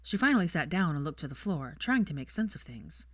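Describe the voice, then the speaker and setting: A woman's voice: sits low, big pitch swings, a little fast, no echo, studio quality. A woman reading fiction aloud as a scripted story narration.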